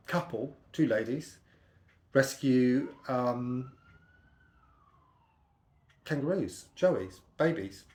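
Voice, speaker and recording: A middle-aged British man with a slightly croaky voice, chatting casually and telling personal anecdotes in a rambling, conversational way. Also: lowish voice, echoey room. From United Kingdom. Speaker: vlogger.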